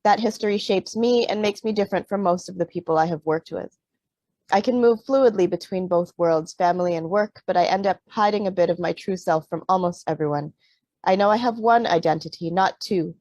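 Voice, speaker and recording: A woman's voice: on the low side, normal speed, a little mellow, dry recording. A middle-aged woman from Canada, an author on a panel who talks with many fillers and restarts, then reads aloud from her book. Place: Vancouver.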